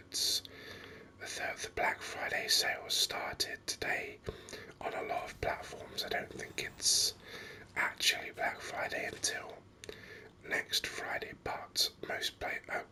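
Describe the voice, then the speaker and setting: A gaming host whispering ASMR commentary very close to the microphone in a rambling, hesitant way. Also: sharp tone. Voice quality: breathy unvoiced whisper. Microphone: microphone very close to the mouth. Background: voice-over on game screen capture.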